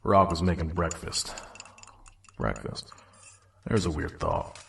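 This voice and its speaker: A man playing a weary, guarded pony trader in an audio drama, with a gruff, dry, terse way of talking. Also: rumbling low, big pitch swings, slow, fairly bright tone, a little hiss or hum, heavily acted. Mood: weary, grumbling. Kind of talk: audio drama narration and dialogue.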